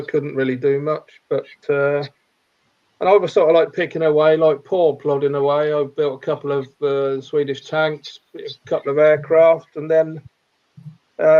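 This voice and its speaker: A man's voice: fairly high pitch, a little mellow, lo-fi sound. A man in a video-call chat describing his model building, enthusiastic and rambling with lots of fillers. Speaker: scale model builder, club member.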